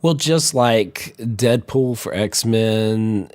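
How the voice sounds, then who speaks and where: A man with a cold hosting a podcast, talking in a deep, hoarse voice and rambling casually off on tangents. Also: drawn-out words, no room sound. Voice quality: deep, dark voice. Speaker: podcast host.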